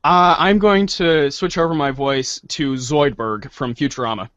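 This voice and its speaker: A man's voice: on the high side, measured pace, fairly bright tone, no room sound. A man who is a voice actor, bantering casually and jokingly between read parts. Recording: dry, dull lo-fi audio.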